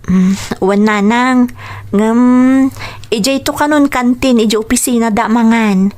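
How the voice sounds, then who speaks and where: A woman playing a patient, dutiful young wife in an Ilocano radio drama, speaking gently and hesitantly. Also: fairly high pitch, a little slow, close to an everyday voice. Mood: subdued, reassuring.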